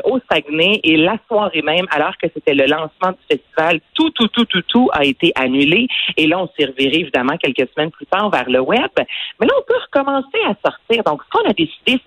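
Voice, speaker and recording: A woman with a Québécois accent giving a lively, chatty culture segment on the radio. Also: low-pitched, no echo, poor audio. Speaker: culture columnist.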